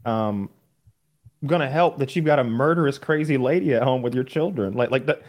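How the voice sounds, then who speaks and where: A man's voice: medium pitch, fairly quick, darkish tone. A man hosting a casual podcast debate, talking bluntly with an incredulous, mocking manner. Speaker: podcast host. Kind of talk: casual argumentative podcast chat.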